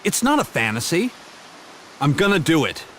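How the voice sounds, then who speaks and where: An actor playing a brash, determined young warrior in a fantasy video game, with a blunt, casual, emotive way of talking. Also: male voice, on the high side, big pitch swings, moderate pace, a bit bright, slight background noise. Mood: defiant, determined. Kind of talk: video game cutscene voice acting.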